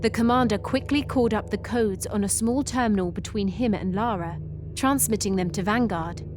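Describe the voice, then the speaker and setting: A woman's voice: mid-range voice, moderate pace, over music. A woman reading scripted story narration as the narrator.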